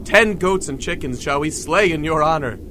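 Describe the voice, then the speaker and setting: A man's voice: a little high, lively intonation, moderate pace, background noise, lightly acted. A man playing a humble villager pleading reverently with a deity in an audio drama, in a declamatory way. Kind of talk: scripted audio drama acting.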